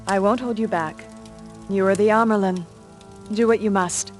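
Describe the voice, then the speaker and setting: A solemn sorceress mentor, acted by a woman in a fantasy game. She speaks formally and with measured gravity in a mid-pitched voice. Music plays softly behind her. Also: steady tone, lightly acted. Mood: solemn advice. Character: grave, formal female mentor sorceress of a magical order. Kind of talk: dramatic fantasy game acting.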